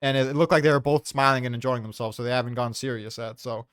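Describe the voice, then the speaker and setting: A man's voice: medium pitch, steady tone, fast, a bit bright, smooth, no echo, clean studio sound. A man in a casual chat, arguing his points with offhand swearing.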